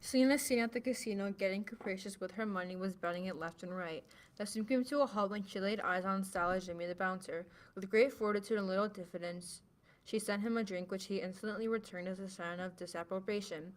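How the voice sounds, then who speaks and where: A woman's voice: medium pitch, brisk. A woman acting as news reader, delivering a scripted amateur report.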